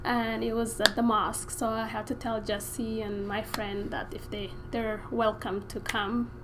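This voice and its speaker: A woman's voice: on the high side, level tone, a little slow, background noise. A woman from Somalia, a nurse, telling a shared story to camera in a chatty way and teasing her co-host.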